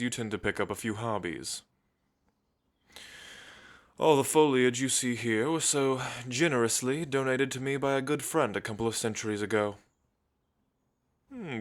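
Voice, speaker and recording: A man playing an elegant, centuries-old vampire in an ASMR roleplay, suave and theatrical, with musing pauses. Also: mid-range voice, a bit bright, lightly acted. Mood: suave, playful. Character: centuries-old elegant male vampire, languid, teasing, world-weary.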